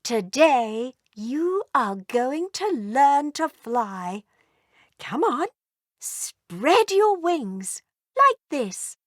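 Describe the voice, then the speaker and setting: A woman voicing a doting, gentle mother dragon in a children's storybook, tender and motherly. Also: sits high, big pitch swings, very slow, no room sound, clean studio sound, voice pushed up, heavily acted. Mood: encouraging. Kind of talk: children's storybook read aloud.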